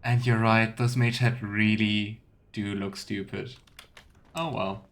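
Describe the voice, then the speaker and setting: A man's voice: on the low side, level tone, slow pace. A man streaming a video game, giving casual commentary and thinking aloud. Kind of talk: casual let's play commentary.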